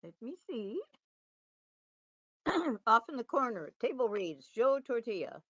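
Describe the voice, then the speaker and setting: Dull dry audio, woman, slightly high voice, casual participant. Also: very animated, takes it slowly, fairly bright tone, no room sound. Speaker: table read participant. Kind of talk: casual voice chat.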